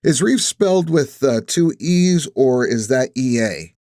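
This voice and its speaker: A man's voice: mid-range voice, animated, unhurried, dark tone, no room sound, clean studio sound, natural voice. A man voicing a brash, defiant detective in an audiobook, with a sarcastic, pushy manner. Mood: taunting. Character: brash, defiant male detective needling a suspect. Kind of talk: acted audiobook dialogue.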